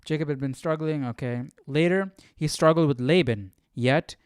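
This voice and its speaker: Clear-voiced man thinking aloud, dry close sound. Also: a little high, moderate pace, no room sound. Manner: informal, questioning, muttering to himself. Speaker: livestream Bible study host. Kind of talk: casual chat, thinking aloud.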